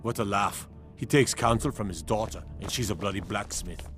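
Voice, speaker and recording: A man playing a boastful, gruff warrior full of scorn in a fantasy video game. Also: on the low side, moderate pace, fairly bright tone, natural voice. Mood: scornful. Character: belligerent, boastful male warrior, scornful brother of a ruler. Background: game music.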